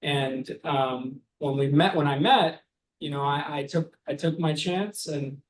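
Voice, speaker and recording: A man from Albania, a personal trainer and gym co-owner, talking in a relaxed, rambling way. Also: a little high, a little slow, rough recording. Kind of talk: casual interview talk.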